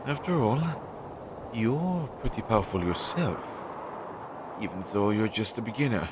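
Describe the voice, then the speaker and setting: A young man playing an anxious, earnest and loyal crow familiar in a roleplay audio drama, hesitant and chatty as he thinks aloud, on a low-quality amateur recording. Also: sing-song, unhurried, background noise. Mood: reassured, earnest. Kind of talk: roleplay audio drama, intimate one-sided dialogue.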